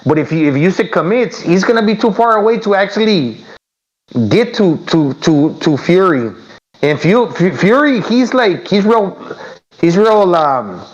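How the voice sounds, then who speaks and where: Male co-host, fairly high voice, halting casual delivery, muffled lo-fi line. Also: lively intonation. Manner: loose, halting, repeats words. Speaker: podcast co-host. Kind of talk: casual boxing podcast chat. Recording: dull lo-fi remote line.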